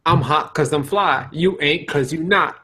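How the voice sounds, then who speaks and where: A man's voice: on the high side, a little slow, sharp tone, husky. A man co-hosting a casual podcast, slangy and mock-incredulous, often repeating his phrases. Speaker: podcast co-host. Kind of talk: casual joking podcast chat.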